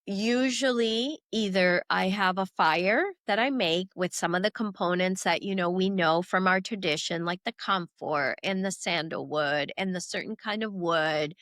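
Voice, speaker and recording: A woman's voice: fairly low pitch, fairly bright tone, no echo, clean studio sound. A woman from Venezuela talking as a guest in a spiritual conversation, in a reflective, loose and conversational way.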